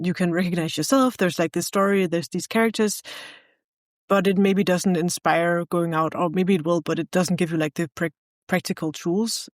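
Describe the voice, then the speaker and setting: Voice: mid-range voice, dry recording. Danish-accented podcast host, dry sound. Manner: hesitant, many fillers like "like" and "um". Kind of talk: casual interview talk.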